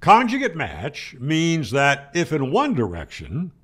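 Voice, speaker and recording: Older man, higher-pitched, lively explainer. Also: slow pace, breathy edge. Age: older, 60s or 70s. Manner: emphatic, rhetorical questions and firm answers. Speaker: ham radio explainer, video host. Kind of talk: explanatory lecture to camera. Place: small home room, indoors. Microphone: close studio mic on boom arm.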